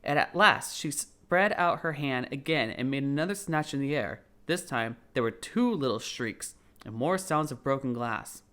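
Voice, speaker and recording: Audiobook narrator: male, expressive storybook read with animated, wide-ranging intonation. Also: medium pitch, normal speed.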